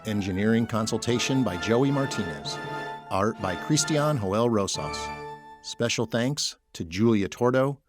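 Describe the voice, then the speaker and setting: A male narrator with a fairly deep voice reads scripted narration for an audio drama. Music is clearly audible behind him throughout. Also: expressive, measured pace.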